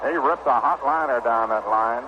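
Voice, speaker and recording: An American man calling baseball play-by-play on 1960s radio in a measured, descriptive way with pauses. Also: medium pitch. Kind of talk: live 1960 radio sports commentary. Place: ballpark broadcast booth. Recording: noisy muffled vintage 1960 radio broadcast.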